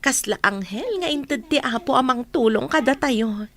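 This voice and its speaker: A woman's voice: high-pitched, expressive, normal speed, a bit bright. A woman acting a kind, grateful young woman and caring older sister in a radio comedy drama. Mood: tender.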